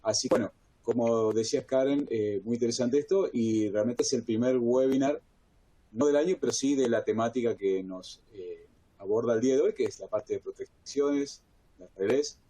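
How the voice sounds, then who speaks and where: An Argentine man moderates a technical webinar, talking on enthusiastically. His voice is mellow and dark, with a middle pitch. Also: moderate pace. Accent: Argentine Spanish. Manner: enthusiastic, rambling.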